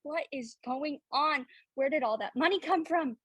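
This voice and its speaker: A woman's voice: high voice, normal speed, no echo, natural voice. An actress playing a candid, wronged young ex-girlfriend in a radio play, reflective yet ready to confront. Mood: angry, exasperated. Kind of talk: radio play interview and dialogue.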